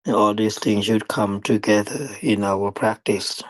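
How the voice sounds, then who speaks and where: Slow, low-voiced man guiding meditation. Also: fairly even intonation, dry recording. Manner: deliberate, halting phrasing with long pauses. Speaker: meditation teacher. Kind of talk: guided meditation dharma talk.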